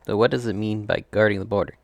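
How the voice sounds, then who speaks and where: A man's voice: lowish voice, steady tone, quick pace, vocal fry, no echo. A man giving offhand, joking commentary as he streams a video game, in a casual style. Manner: offhand, chuckling asides, joking.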